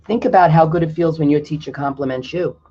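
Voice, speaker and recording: A man's voice: on the high side, fast, mellow. A man reading a picture book aloud to children, stopping now and then to explain things to his young listeners. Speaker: storyteller. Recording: dull lo-fi recording.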